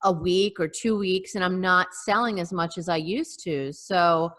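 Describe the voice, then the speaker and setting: Bright-voiced woman chatting on a podcast. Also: on the low side, unhurried. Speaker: podcast co-host. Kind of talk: casual chat. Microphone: video call.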